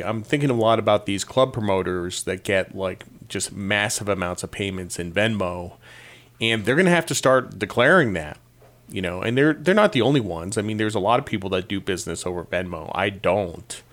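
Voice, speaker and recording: A middle-aged man from Connecticut hosts his podcast in an easygoing, rambling monologue. His voice sits a little low, and he drifts from one wry anecdote to the next. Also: lively intonation, a little fast. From Connecticut, USA. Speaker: podcast host. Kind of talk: casual monologue.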